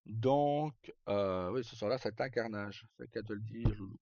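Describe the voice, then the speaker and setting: A man chatting casually as a livestream host, telling roleplay stories in a halting, rambling way and often repeating words as he goes. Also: fairly low pitch, darkish tone, no room sound. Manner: halting, rambling, frequent self-repetition. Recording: dull lo-fi mic, dry.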